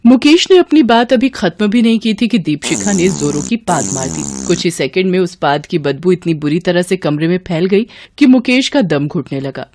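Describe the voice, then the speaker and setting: A woman's voice: lowish voice, lively intonation, normal speed, few pauses, noisy background. A woman narrator reading a scripted storybook tale.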